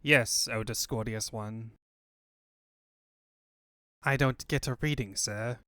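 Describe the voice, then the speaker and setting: A man plays a cartoon villain's henchman. He is subservient and flatly deferential, speaking in a lowered voice. The recording is dry. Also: slow, smooth, dry recording. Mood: sullen deference. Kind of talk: comic book fan dub.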